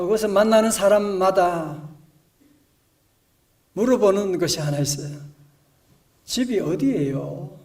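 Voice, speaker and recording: A male pastor preaching a storytelling sermon in a church, moving between hushed and emphatic delivery. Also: high voice, recorded from a distance. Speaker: senior pastor. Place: church sanctuary.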